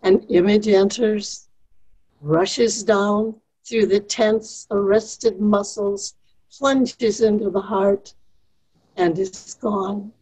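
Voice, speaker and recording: A woman reciting poetry aloud, very slowly. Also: medium pitch, steady tone, dark tone, slightly rough, poor audio.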